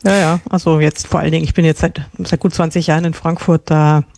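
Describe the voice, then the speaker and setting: A woman's voice: low voice, flat delivery, fast, creaky, darkish tone, slight background noise. A woman in her fifties from the Munich area, chatting casually as an interview guest in standard German. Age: 50s. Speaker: interview guest, journalist and novelist.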